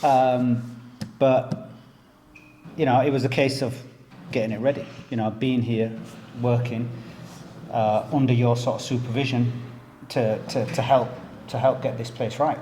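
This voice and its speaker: Man, mid pitch, laughs often, repeats words, casual storytelling, echoey room, faint noise. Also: fairly even intonation, unhurried, long pauses, dark tone. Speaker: jiu-jitsu professor and academy co-owner. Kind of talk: casual chat. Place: inside a martial arts gym.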